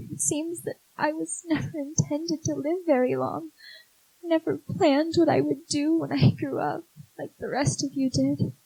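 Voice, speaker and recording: An actress playing a gentle, dying younger sister in a 1940s-style radio drama, speaking tenderly and haltingly. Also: female voice, high-pitched, poor audio. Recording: live stage recording with music behind.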